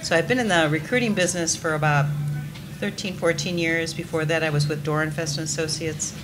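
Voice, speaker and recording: A woman recruiter with a soft voice introducing herself on a panel. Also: low voice, steady tone, fairly quick. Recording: loud room noise.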